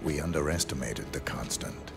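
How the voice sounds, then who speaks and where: An actor playing a stoic, terse assassin in a video game, cold and deadpan in clipped sentences. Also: male voice, very deep voice, moderate pace, gravelly, music in the background. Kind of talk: cinematic video game dialogue.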